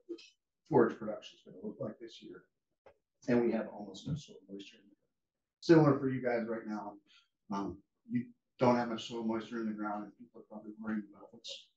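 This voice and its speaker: A man gives a relaxed academic seminar, pausing often with fillers and restarting his sentences. He sounds set back from the mic in a roomy lecture hall. The recording is a little muffled. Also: mid-range voice. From United States. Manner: casual, halting, many fillers and restarts. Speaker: guest speaker, rangeland scientist. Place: lecture room. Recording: echoey room audio from a distance, dull.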